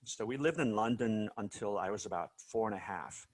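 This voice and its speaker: A man's voice: on the low side, fairly quick. A man from South Korea being interviewed over a video call, telling stories casually with many fillers and restarts. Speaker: guest musician.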